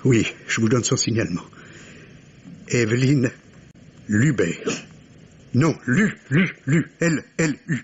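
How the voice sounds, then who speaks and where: An actor playing an anxious middle-aged professor and worried father in an old radio mystery play, halting and repeating his words. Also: medium pitch, lively intonation, full character voice. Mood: anxious, agitated. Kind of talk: old French radio drama acting. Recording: dull lo-fi vintage radio, clear hiss.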